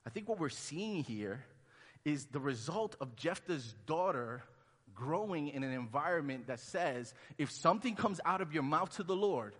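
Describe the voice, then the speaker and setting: A pastor originally from Honduras preaches in a loose, conversational style and slips into the voices of the people he quotes. His voice sits a little high for a man and swings widely in pitch. A long hall reverb trails after his words. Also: lively intonation, normal speed, fairly bright tone. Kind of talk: sermon.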